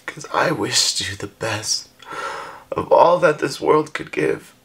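A man performing a dramatic monologue as a jealous, heartbroken young lover, halting and tearful. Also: mid-range voice, animated, fairly bright tone. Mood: quiet hurt. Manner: halting, emotional, breaking into whispers and cries. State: crying. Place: small indoor room.

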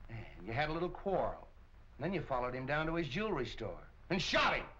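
An actor playing a gruff, accusing middle-aged police captain in a 1940s crime film, with clipped delivery. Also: male voice, mid-range voice, big pitch swings, fairly quick, pushed and bright, a little hiss or hum. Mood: suspicious. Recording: muffled old film soundtrack.

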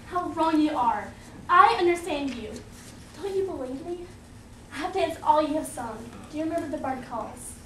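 A woman's voice: high-pitched, expressive, moderate pace. A young woman playing an adoring, romantic dancer in an amateur stage play, earnest and pleading. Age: young adult. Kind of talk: theatrical stage acting. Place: indoor theatre stage. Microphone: distant audience camera. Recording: echoey noisy theatre stage from far off.

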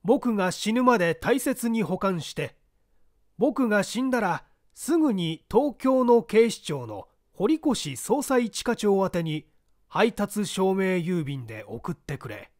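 A dying man speaks with grave urgency, played by a male audiobook reader. His high voice rises and falls dramatically as he insists on solemn promises. The sound is very dry. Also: sing-song, takes it slowly, no room sound, full character voice. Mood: grave, insistent.

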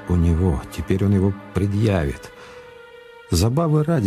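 A man narrating a radio crime thriller as a grave storyteller. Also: fairly low pitch. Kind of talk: dramatic radio play narration. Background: music under the voice.

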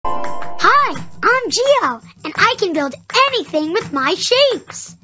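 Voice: extremely high pitch, big pitch swings, slow pace, sharp tone, soft background music, full character voice. A performer playing a cheerful little boy builder who guides a children's cartoon game with upbeat, simple encouragement. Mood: cheerful, instructive.

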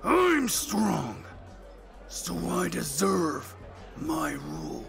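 An actor playing a furious, brutish shapeshifter gang boss in an audio drama, snarling and shouting with bluster. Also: male voice, on the high side, very animated, extremely slow, creaky, faint music behind, heavily acted. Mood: menacing.

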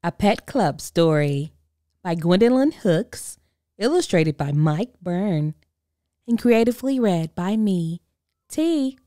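A woman reading a children's picture book aloud in a slow, expressive, singsong way. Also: lowish voice, no echo, studio quality. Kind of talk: storybook read-aloud.

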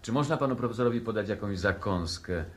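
A man's voice: on the low side, fast talker, lightly acted. A man in a 1960s radio play acting a polite, formal servant who obligingly offers drinks. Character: polite, deferential male host serving drinks.

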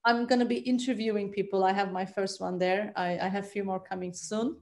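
A woman's voice: mid-range voice, steady tone, brisk. A woman in her forties from Turkey, a native Turkish speaker with a Turkish accent, talking conversationally as an interview guest on a video call from home. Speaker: guest, trauma psychologist. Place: bright living room at home.

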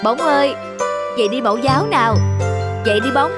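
A woman's voice: high voice, lively intonation. A woman voicing a flustered young uncle. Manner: exasperated, quick to scold. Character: young adult man, flustered bachelor uncle. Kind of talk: cartoon voice acting. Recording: music underneath.

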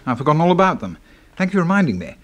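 A man's voice: on the high side, sing-song, racing, fairly bright tone. A man playing a jovial, chummy English doctor in a 1970s radio drama, with a British accent and a hearty, clipped manner. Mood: breezy. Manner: hearty, chuckling, clipped old-chap talk.

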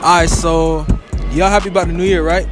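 A man's voice: on the high side, little pitch movement, a little fast, over music. Playful young man interviewing in a mall. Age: young adult.

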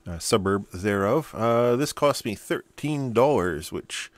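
Low-pitched middle-aged man, casual. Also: no echo. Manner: rambling, thinking aloud. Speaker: host. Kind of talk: casual unboxing commentary. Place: indoor hobby workbench.

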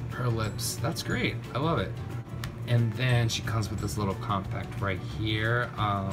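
Enthusiastic man reviewing toys, music behind. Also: on the low side. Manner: enthusiastic, gushing, lots of "really, really". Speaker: toy reviewer. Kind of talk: casual unboxing review. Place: indoors, tabletop setup. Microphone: off-camera narration, camera on hands.

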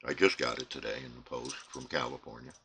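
A middle-aged American man giving a casual, unscripted show-and-tell, rambling and halting as he trails off. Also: a bass voice, fairly even intonation, fairly bright tone. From United States. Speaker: CB radio hobbyist presenting his equipment. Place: indoor radio bench crowded with equipment. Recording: clear background noise, room ambience.

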